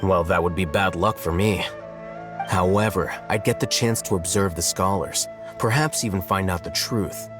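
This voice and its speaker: Man playing a very deep, composed scholar. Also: natural voice. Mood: cool. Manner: composed, measured. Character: calm, cunning scholarly scribe. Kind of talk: game cutscene voice acting. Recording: game cutscene with clear music.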